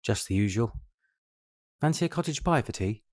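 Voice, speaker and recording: Man playing regretful British husband, lowish voice. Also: lively intonation, normal speed, natural voice. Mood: casual, domestic. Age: middle-aged. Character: regretful middle-aged husband, dutiful, guilty, unfaithful. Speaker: actor in an audio drama. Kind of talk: confessional audio drama monologue.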